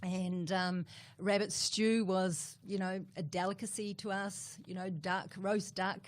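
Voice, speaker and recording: Middle-aged woman, New Zealand accent, casual interview guest, rambling with fillers, mid pitch. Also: steady tone, measured pace. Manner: chatty, with many fillers and repeated words.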